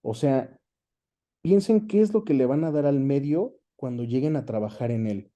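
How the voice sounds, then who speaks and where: Very mellow, dark-voiced Mexican man explaining. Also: mid-range voice, normal speed, lo-fi sound. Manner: explanatory, checks in with tag questions. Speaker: interview guest, voice actor and dubbing director. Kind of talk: casual conversational explanation.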